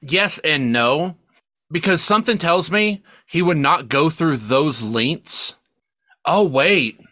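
A man's voice: on the high side, expressive, slow pace, bright, forceful tone, no room sound, studio quality. A man co-hosting a casual, opinionated film discussion, speaking emphatically and swearing freely. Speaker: podcast co-host reviewing films.

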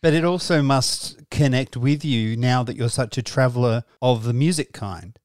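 A man's voice: medium pitch, normal speed, a little mellow, no echo. Curious male radio interviewer, dry close voice.

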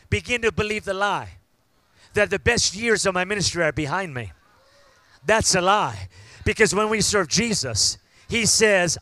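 A man, preaching a sermon and building to loud, fervent call-outs to the congregation. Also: sits high, no room sound. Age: 50s. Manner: preaching with rising shouts and call-outs to the crowd. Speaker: pastor.

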